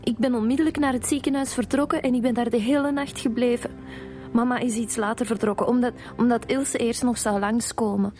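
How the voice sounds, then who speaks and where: An actress playing a bereaved young daughter with a Flemish accent, earnest and subdued, in a radio drama. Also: female voice, fairly high pitch, fairly even intonation, brisk, a little mellow, soft background music, close to an everyday voice. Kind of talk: naturalistic radio drama acting.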